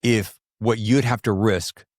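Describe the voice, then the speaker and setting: A man's voice: on the low side, steady tone, slow pace, no echo, studio quality. A man from the Southern United States hosting a casual book interview, talking in an effusive, rambling way with repeated words. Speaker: podcast host, interviewer.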